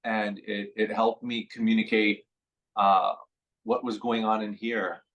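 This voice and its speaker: Low-voiced man, reflective and unhurried. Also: flat delivery, dull audio. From San Francisco Bay Area. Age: 40s. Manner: reflective, meandering, frequent fillers. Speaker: interview guest, musician. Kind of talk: conversational radio interview.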